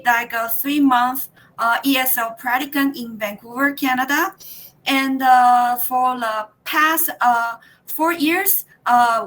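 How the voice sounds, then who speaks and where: A woman in her thirties with a Taiwanese accent runs an online teacher workshop over a video call. Her voice is bright and fairly high. She talks in halting bursts, full of fillers and restarts. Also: poor audio. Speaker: teacher trainer leading a workshop.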